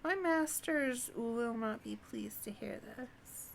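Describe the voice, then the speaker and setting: A woman's voice: on the high side, takes it slowly, gravelly, a little hiss or hum. A woman voicing an anxious servant from a fantasy game, fretful and old-fashioned in phrasing. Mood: worried.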